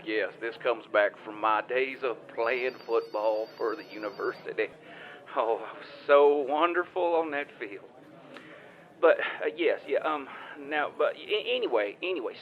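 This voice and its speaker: A man playing a folksy small-town caller, a former football player, who stammers and rambles in an improvised comedy phone call. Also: medium pitch.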